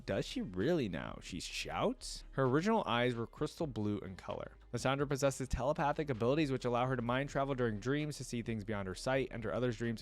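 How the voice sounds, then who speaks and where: A man with a mid-pitched voice gives casual reaction commentary. He moves between reading aloud and thinking out loud in informal, musing asides, like an online video creator talking to viewers.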